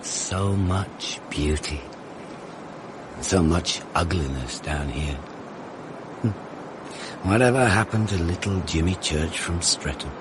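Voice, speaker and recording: A man's voice: sits low, takes it slowly, pauses often, noisy background. An actor playing a brooding, unhinged man who mutters a wistful, bitter monologue in a radio drama.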